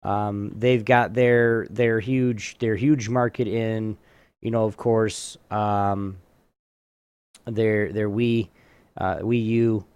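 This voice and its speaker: A man hosting a trivia game in a casual chat, often halting and restarting his phrases. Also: fairly low pitch, flat delivery, darkish tone, clean tone, no room sound, muffled recording. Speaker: podcast host running a trivia game.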